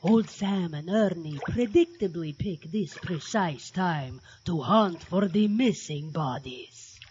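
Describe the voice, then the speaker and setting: Pompous mad-scientist horror host played by a man, slow theatrical delivery, dull sound over music. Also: sits high, expressive, a little mellow, a little hiss or hum. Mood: mock-dramatic. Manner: theatrical, sardonic. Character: pompous campy mad-scientist doctor hosting horror movies. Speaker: horror show host and narrator. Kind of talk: campy mock-horror narration.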